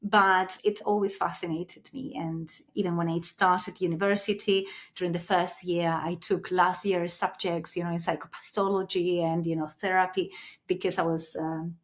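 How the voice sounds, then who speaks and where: Lower-voiced woman. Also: level tone, moderate pace. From Canary Islands, Spain. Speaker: podcast guest, clinical psychologist. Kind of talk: reflective conversational interview answers.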